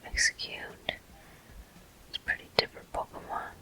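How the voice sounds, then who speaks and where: A gameplay host speaks entirely in a breathy whisper for ASMR. The sound is very bright and airy, with no real voice behind it. The delivery is soft and unhurried, gently narrating each step of play. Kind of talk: ASMR whispered game commentary.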